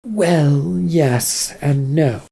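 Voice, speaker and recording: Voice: mid-range voice, drawn-out words, vocal fry, mellow, full character voice. A reader voicing a stressed male manager who stammers and gets flustered.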